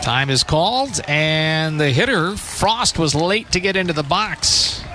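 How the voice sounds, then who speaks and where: Male baseball play-by-play announcer, fairly high voice, clipped radio commentary phrasing, clear outdoor background noise behind him. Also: lively intonation, bright, forceful tone. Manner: clipped short phrases between measured descriptions. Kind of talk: live radio sports commentary.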